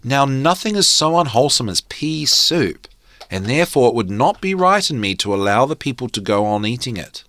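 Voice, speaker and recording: A vain, bossy young king from a fairy tale, performed by a man reading aloud. He declaims pompously, with pitch that swings widely, in a dry, close sound. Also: sing-song, moderate pace, fairly bright tone, no room sound. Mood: pompous, disapproving. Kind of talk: storybook character reading.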